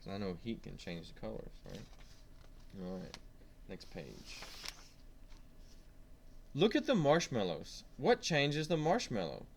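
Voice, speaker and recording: A man's voice: fairly low pitch, huge pitch swings, a little slow, creaky, long pauses, a little hiss or hum. A man reading a science lesson aloud to young children, stressing key words and asking simple questions as he goes. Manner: simple questions and prompts to the listener.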